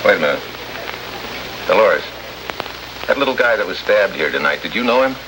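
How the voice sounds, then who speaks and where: An actor playing a tough, wisecracking American special agent in an old radio drama, sardonic and slangy. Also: male voice, low-pitched, expressive, normal speed. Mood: brisk, wry. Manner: sardonic, hard-boiled, slangy. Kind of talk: 1950s radio drama acting. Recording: noisy old radio recording, heavy hiss.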